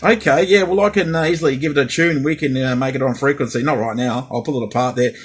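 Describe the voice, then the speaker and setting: A man's voice: medium pitch, fast, breathy edge. A man in a casual radio chat as a CB operator, speaking hesitantly and repeating himself. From Australia.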